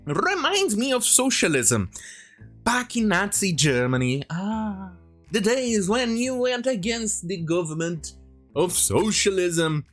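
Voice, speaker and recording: This is an opinionated online commentator in mid-rant, sarcastic and prone to swearing. The pitch swings up and down a great deal while the delivery halts and restarts. Guitar music plays along behind the voice. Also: big pitch swings, takes it slowly, a bit bright. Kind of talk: opinionated rant commentary, reading quotes aloud.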